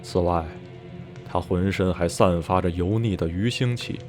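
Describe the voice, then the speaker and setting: A man playing an uneasy survivor of a sinister town, recounting dreadful memories in measured, literary Mandarin for a radio drama. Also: low voice. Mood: grave, disgusted. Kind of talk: radio drama acting, narrating in interview. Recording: music behind the voice.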